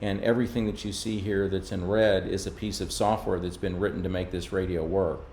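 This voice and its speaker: A man speaks in a fairly low voice, walking through technical material as a company presenter. His phrasing is informal and explanatory. There is some light background noise on the recording. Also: steady tone, brisk, gravelly, a little mellow. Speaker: radio manufacturer's presenter.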